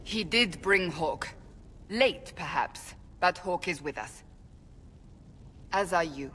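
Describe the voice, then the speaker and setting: A woman's voice: fairly low pitch, lively intonation, takes it slowly, sharp tone, breathy edge, heavily acted. An actress playing a stern, devout warrior woman in a video game, grave, blunt and hard on herself. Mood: conceding. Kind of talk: video game cutscene acting. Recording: long hall reverb.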